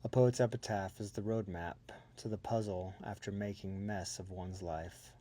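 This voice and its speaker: A man reciting a poem in a measured, solemn manner. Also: sits low, steady tone, warm tone. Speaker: poet reading own work. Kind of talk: poetry recitation.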